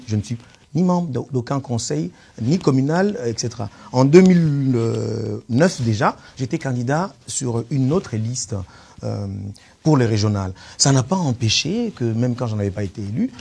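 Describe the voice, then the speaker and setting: A man's voice: mid-range voice, mellow, slightly rough. A man of Congolese origin, a political candidate, arguing his case in a debate, insistent and emphatic, often repeating words. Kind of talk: debate on a web TV show.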